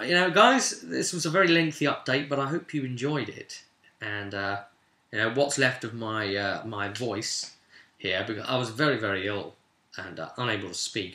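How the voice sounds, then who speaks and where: A man acting as game master, recounting a tabletop fantasy game session informally like an animated storyteller speaking straight to his listeners. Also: on the low side. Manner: animated storyteller, voicing characters' lines and addressing listeners directly. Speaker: game master and host of a tabletop roleplay recap series. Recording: echoey, roomy sound.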